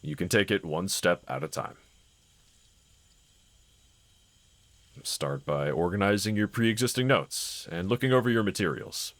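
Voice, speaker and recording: A man playing a gentle, encouraging husband in an intimate scripted roleplay audio, speaking in a considerate, reassuring manner. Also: sits low, moderate pace, no room sound. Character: gentle, encouraging adult husband, calm and caring. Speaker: character audio voice actor.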